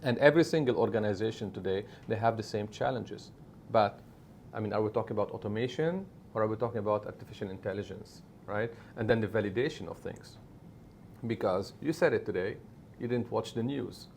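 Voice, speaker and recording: A middle-aged man whose first language is Arabic speaks in a low, gravelly voice. He talks emphatically and keeps checking in with "right?". Faint noise sits under the speech. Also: a little fast, a little mellow. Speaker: media executive guest. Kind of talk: conversational interview answers.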